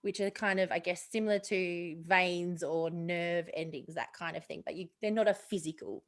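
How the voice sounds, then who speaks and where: A woman co-hosting a podcast, talking things through in relaxed conversation. She laughs often and gropes for words with soft fillers as she explains. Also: lowish voice, moderate pace. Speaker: podcast co-host. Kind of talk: casual chat, explaining spiritual ideas.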